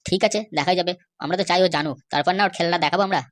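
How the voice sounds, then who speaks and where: A woman's voice: lowish voice, racing, natural voice. Woman as a sly, rattling cartoon lackey. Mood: scheming, gossipy. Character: sly male cartoon schemer and bribe-seeking jail constable. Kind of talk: amateur cartoon comedy dubbing.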